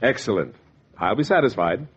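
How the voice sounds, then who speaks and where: Wry hardboiled schemer, lively inflection, vintage radio drama with a muffled old sound. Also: male voice, on the low side, moderate pace, husky, heavily acted. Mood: businesslike. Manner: wry, animated storytelling. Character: slick, wry, scheming fur speculator telling his tale. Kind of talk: 1940s hardboiled radio narration and dialogue. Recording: dull 1940s radio recording, music behind.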